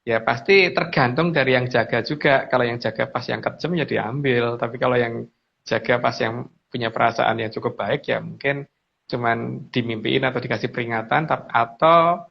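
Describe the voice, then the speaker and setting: A guest speaker, a man, explains in a casual, halting way. His mid-pitched voice has a lot of creak, and he often repeats words. The audio is dull and lo-fi. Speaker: guest speaker on mystical lore.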